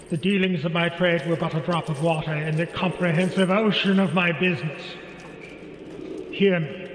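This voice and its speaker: Man acting a doomed, echoing ghost, high-voiced. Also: lightly acted. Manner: solemn, lamenting, portentous. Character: weary, doomed ghost of a dead businessman. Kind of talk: theatrical radio drama acting. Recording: heavy echo and reverb, clear noise.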